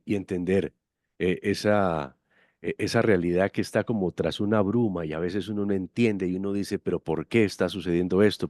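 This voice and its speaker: Courteous host, very low voice. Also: male voice, a little mellow, no echo, clean studio sound. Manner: courteous and effusive. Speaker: interviewer, host. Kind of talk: friendly interview questions.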